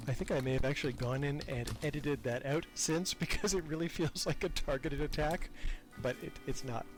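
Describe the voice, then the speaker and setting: A man's voice: fairly high pitch, steady tone, normal speed, soft background music. A man being interviewed as a podcast creator, talking casually and reflectively in a self-deprecating, hesitant way, repeating words as he thinks.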